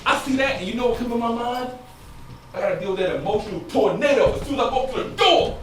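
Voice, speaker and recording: A man's voice: extremely high pitch, expressive, fast, soft background music. Echoey, anguished husband played by a man onstage. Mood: bitter, sarcastic. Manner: emotional, swinging from quiet confiding to loud outbursts. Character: anguished, frustrated husband struggling with infertility. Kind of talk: theatrical stage monologue. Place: stage in a large indoor room. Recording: echoey stage, distant mic, noisy.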